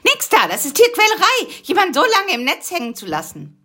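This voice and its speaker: A woman voicing an eager, cheeky little male critter who teases his friend and gets excited. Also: very high voice, a little fast, pitched above the performer's own voice, full character voice. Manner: teasing, excited.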